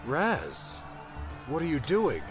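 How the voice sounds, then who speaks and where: A man's voice: fairly high pitch, huge pitch swings, takes it slowly, voice pushed up, exaggerated voice. A man voicing an earnest, resolute young hero in audiobook dialogue. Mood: surprised. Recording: background music under the voice.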